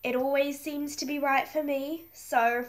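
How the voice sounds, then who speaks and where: A woman's voice: sits high, steady tone, unhurried. A young woman vlogging in her bedroom with a stuffy nose, chatting to camera in a rambling way and reading from her phone. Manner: chatty and rambling, many fillers and restarts. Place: small bedroom indoors. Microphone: webcam in front of her.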